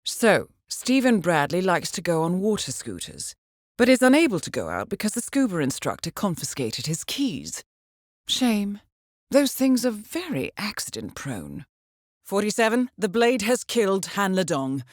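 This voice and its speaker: A woman voicing a composed middle-aged intelligence handler in a video game, measured and formal with dry understatement. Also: mid-range voice, wildly swooping pitch, dry recording. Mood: dryly amused. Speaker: mission handler. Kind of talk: scripted game voice acting, mission briefing.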